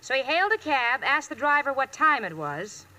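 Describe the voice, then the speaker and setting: A woman's voice: sits high, very animated, moderate pace, pushed and bright, exaggerated voice, poor audio. An actress playing a sensible, sarcastic young woman with dry wisecracks in an old radio sitcom. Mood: wry. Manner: dry wisecracking, crisp delivery. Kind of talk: 1940s-50s radio sitcom acting. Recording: old radio recording with faint noise.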